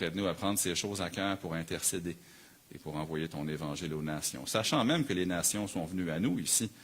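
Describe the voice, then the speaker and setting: A man's voice: sits low, a bit bright. A man with a Quebec French accent preaching a sermon as a pastor, earnest and emphatic, building his points through repeated phrases. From Canada.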